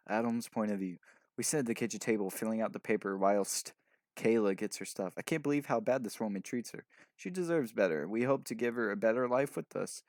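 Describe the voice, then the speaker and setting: A man's voice: lowish voice, a little fast, a little mellow, dry recording. A man reading a story aloud casually, stumbling over sentences as he narrates. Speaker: fanfiction reader.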